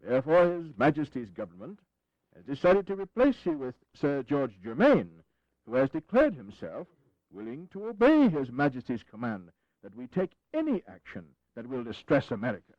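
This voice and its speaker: An actor playing a haughty, imperious British lord and government minister in a historical audio play, pompous and curt. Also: male voice, mid-range voice, very animated, moderate pace, no echo, close to an everyday voice. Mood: cold, official. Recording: slightly dull 1980s audio recording.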